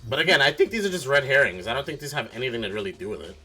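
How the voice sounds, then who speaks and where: Bright-voiced man thinking aloud over game music. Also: mid-range voice, big pitch swings, racing. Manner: speculative, blunt, swears casually. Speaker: streamer playing a mystery game. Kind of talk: casual game commentary with friends, reasoning aloud.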